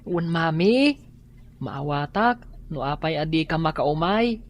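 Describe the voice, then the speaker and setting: Polite young schoolboy. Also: female voice, deep voice, expressive, slow pace. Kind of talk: Ilocano family drama acting.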